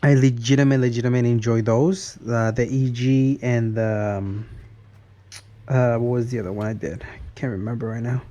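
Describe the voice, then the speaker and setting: A man's voice: mid-range voice, fairly even intonation, moderate pace, dark tone, dull audio. A man in his early thirties talking in a casual, rambling vlog style, often repeating and restarting his phrases. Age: early 30s. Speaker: car vlogger.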